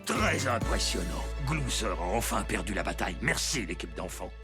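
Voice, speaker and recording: A man playing a gruff, boastful superhero cat in a French cartoon dub, with a pompous, dramatic delivery. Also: fairly low pitch, very animated, normal speed, over loud music. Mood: sheepish, grateful. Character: gruff, boastful male lone superhero cat, easily disgusted.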